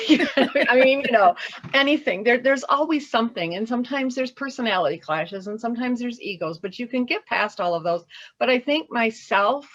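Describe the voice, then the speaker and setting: A woman's voice: a little high, expressive, moderate pace, a bit bright. Woman on a zoom call, hesitant, muffled lo-fi sound. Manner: hesitant, searching for words. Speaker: guest. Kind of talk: casual group conversation. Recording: dull lo-fi audio.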